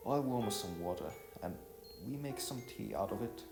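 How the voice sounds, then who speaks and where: Playful young woman for an audio novel, read by a man, gently coaxing, roomy sound over music. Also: lowish voice, lively intonation, moderate pace. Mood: inviting. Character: friendly, playful young woman eager to host. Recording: roomy echo with music behind.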